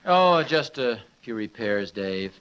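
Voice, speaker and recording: Old radio sitcom father: proud bumbling handyman, hesitant, self-justifying, sharp bright voice, dull vintage sound. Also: mid-range voice, big pitch swings, takes it slowly, a little hiss or hum. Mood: self-satisfied. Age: middle-aged. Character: proud, defensive middle-aged father, bumbling home handyman. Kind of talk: 1950s radio family comedy acting. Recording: muffled vintage radio recording.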